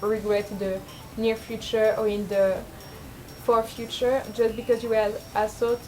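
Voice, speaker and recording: A young woman with a low speaking voice, rambling and self-deprecating in a casual confessional vlog, full of fillers and restarts. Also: little pitch movement, a little slow, warm tone, soft background music, poor audio. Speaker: vlogger.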